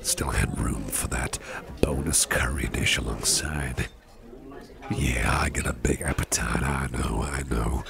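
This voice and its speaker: A man's voice: steady tone, exaggerated voice. A man playing a big, muscular, jovial boss with a hearty appetite in an ASMR dinner-date roleplay, speaking in a folksy, soothing, reassuring way. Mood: indulgent, affectionate. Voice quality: very deep, gravelly. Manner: slow, soothing, chuckling, folksy, repeats phrases reassuringly. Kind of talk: ASMR roleplay, personal attention. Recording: restaurant crowd murmur behind the voice.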